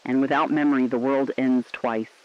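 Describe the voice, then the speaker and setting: A man playing a solemn radio correspondent reporting humanity's collapse, in scripted narration that is grave, deliberate and poetic. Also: mid-range voice, fairly even intonation, muffled recording, natural voice. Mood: bleak, reflective. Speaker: radio broadcaster.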